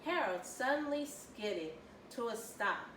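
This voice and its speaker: A woman narrates a children's storybook in a lively, sweeping voice on the high side. She tells it deliberately and expressively. The sound is echoey, picked up from a distance. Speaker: volunteer children's story reader. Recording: roomy, distant mic, faint noise.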